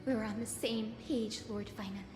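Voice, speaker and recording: Actress doing earnest young priestess, high and solemn, video game style, echoey with music under it. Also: female voice, fairly even intonation, normal speed, close to an everyday voice. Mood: quiet resolve. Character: gentle, resolute young priestess heroine. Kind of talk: dramatic fantasy game voice acting. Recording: echoey reverb, music behind.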